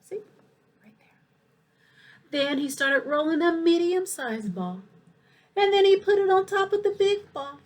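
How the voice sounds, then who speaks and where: A woman reading a children's story aloud in an expressive, animated way, with asides to her young listeners. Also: very high voice, a little slow, long pauses. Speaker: school principal reading a picture book aloud.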